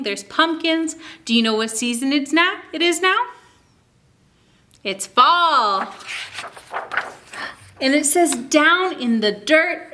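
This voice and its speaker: A woman hosting a children's story time, reading a picture book aloud expressively and pausing to ask young listeners questions and explain. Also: sits high. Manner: asks listeners questions, expressive teaching delivery.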